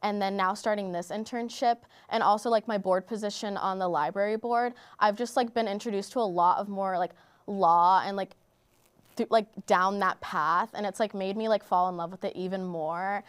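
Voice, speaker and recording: A woman's voice: medium pitch, steady tone, normal speed, smooth. A teenage girl from Bulgaria, answering interview questions in a chatty way, saying "like" often. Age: teens. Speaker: high school student guest.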